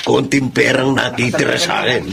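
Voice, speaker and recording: A man's voice: medium pitch, steady tone, normal speed, a crowd in the background. A middle-aged man with a Filipino accent playing a reckless, boastful gambling father in a TV drama, casual and insistent. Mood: stubborn, hopeful. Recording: clearly noisy background.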